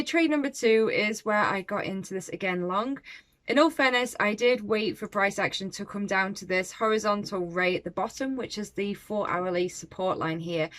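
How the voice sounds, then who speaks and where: A woman's voice: medium pitch, a bit bright. Brief: woman, friendly trading vlogger, talking her day's trades through to camera, reflective and chatty. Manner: chatty and reflective, with small laughs. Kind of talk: casual trade recap to camera.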